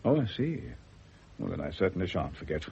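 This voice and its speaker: An actor playing an aging, worldly-wise English doctor in a radio drama, British-accented, dry, measured and wry. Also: male voice, low-pitched, big pitch swings, creaky, darkish tone, husky, heavily acted. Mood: wry, musing. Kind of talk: mid-century radio drama acting. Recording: dull old radio recording, faint noise.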